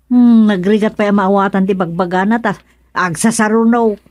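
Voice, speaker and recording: A woman's voice: medium pitch, steady tone, moderate pace, darkish tone, dry recording, studio quality, natural voice. A woman playing a fretful, easily alarmed woman in an Ilocano radio comedy, full of worried exclamations. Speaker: radio drama character.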